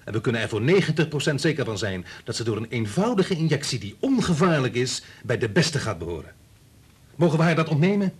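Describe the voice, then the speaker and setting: An actor in a Dutch radio play playing a domineering scientist, insistent, coolly persuasive and condescending. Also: male voice, medium pitch, very animated, brisk, slightly rough.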